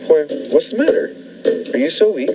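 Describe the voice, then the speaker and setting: A man's voice: a little high, sing-song, moderate pace, mellow. Sinister, falsely caring man, acted on muffled radio. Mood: creepy solicitude. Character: sinister, falsely solicitous man tormenting a captive woman. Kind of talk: 1940s radio thriller acting. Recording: dull lo-fi recording, faint noise.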